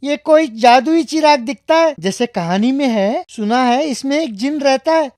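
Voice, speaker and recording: A narrator voicing a kind, fair-minded young boy in a children's cartoon story, earnest and expressive. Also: sits high, unhurried, no echo, studio quality, pitched above the performer's own voice. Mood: excited.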